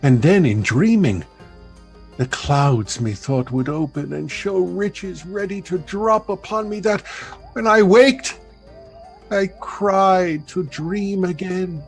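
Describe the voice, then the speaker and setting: A man playing a servile island monster with childlike wonder, speaking in a halting, dreamy, lyrical way in a Shakespearean radio play. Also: sits high, creaky, soft background music, exaggerated voice.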